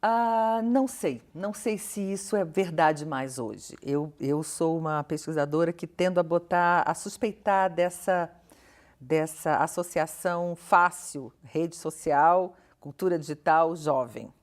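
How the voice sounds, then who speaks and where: A woman with a Brazilian accent and a notably low voice speaks as an academic being interviewed. She thinks aloud in an articulate, questioning manner and stresses her key words as she explains. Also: unhurried. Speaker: interview guest, professor and researcher. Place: television studio interview.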